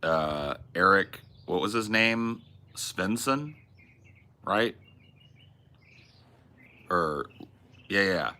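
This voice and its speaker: Streamer host, low and punchy, profane rambling chat. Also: male voice, expressive, extremely slow, long pauses, bright, forceful tone. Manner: rambling, profane, restarts and self-corrections. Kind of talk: casual livestream chat reading viewer messages.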